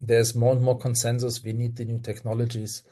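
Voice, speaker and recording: A man's voice: mid-range voice, completely flat delivery, a little fast, mellow. An older man with a German accent, a guest on a video-call webinar, speaking earnestly with hesitant fillers and restarts. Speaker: guest toxicologist.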